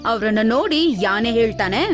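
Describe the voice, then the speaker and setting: A woman's voice: on the high side, animated, moderate pace. A woman narrating a scripted animal cartoon story as its storyteller. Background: light background music.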